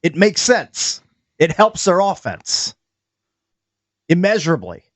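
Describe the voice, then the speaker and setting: A man co-hosting a sports radio show, talking football in a casual, animated way with a nasal voice. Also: high-pitched, slow, dry recording. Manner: animated, laughs often, argues his point.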